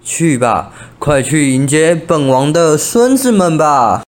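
A man's voice: a little high, animated, takes it slowly. A young man with a Taiwanese Mandarin accent playing a doting, indecisive king in a student radio drama. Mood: commanding. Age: young adult. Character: old-time king, doting father, indecisive ruler. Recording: music bed behind voice.

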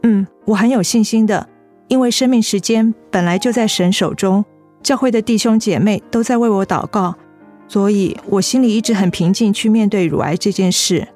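A woman's voice: medium pitch, normal speed, faint music behind, natural voice. An actress playing a sweet young female office worker, polite and a little guarded, in a radio drama. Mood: quietly sincere. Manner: polite, soft-spoken, evasive.

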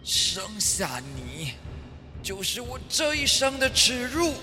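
An actor playing a stern middle-aged male priest who scolds his son, moving from low weary tones to shouting, in an audio drama. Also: very animated, takes it slowly, exaggerated voice. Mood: scornful. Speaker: priest character. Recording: reverb with faint music.

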